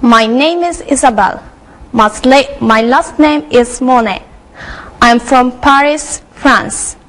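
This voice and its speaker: A woman's voice: high-pitched, slow pace. A young woman in her twenties playing a student on a studio set, speaking in careful simple phrases. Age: 20s. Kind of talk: scripted classroom role-play.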